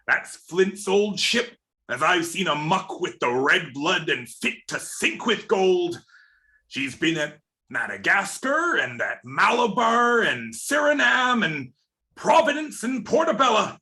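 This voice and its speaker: Wily old pirate cook: high-pitched for a man, slow, folksy storyteller for radio drama. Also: very animated, bright, forceful tone, full character voice. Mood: relishing, boastful. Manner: folksy sailor talk, drawn-out storytelling. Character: Long John Silver, wily old pirate sea cook. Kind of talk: radio play reading. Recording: remote video-call reading.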